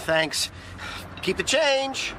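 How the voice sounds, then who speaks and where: A man's voice: very high voice, animated, very slow, noisy background, full character voice. An actor with an American accent playing an anxious, distraught young man haunted by a curse in a horror audio drama. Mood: flustered. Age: young adult. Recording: music behind the voice.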